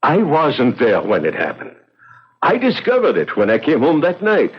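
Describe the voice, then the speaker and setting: An actor playing a poor, guilt-ridden man confessing in a 1970s radio drama, pleading and excitable as he justifies himself. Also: a little high, normal speed, breathy edge, exaggerated voice. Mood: pleading, regretful. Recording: dull, lo-fi old radio recording.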